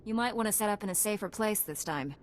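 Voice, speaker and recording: Woman playing calm noble game heroine, mid-pitched voice, steady and formal, light background noise. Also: fast talker, lightly acted. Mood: calm, resolute. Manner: composed, assured, formal. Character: calm, resolute heroic female Jedi knight. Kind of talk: video game voice acting.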